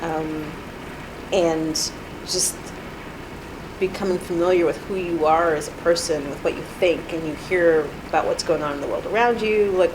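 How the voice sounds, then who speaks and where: A woman's voice: on the low side, noisy background. A woman in her thirties being interviewed at home, speaking hesitantly with many fillers and restarts. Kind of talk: reflective interview. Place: apartment.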